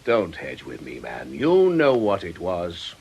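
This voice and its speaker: A man playing a stern, forceful priest in a radio drama, grave, insistent and accusing. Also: low voice, huge pitch swings, takes it slowly, gravelly, breathy edge, exaggerated voice.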